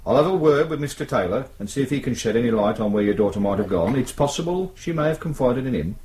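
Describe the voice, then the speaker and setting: An actor playing a brisk, world-weary British detective sergeant in a radio drama, reassuring but patronising and colloquial. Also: male voice, medium pitch, darkish tone, natural voice. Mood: businesslike, soothing. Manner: matter-of-fact, patronising, colloquial.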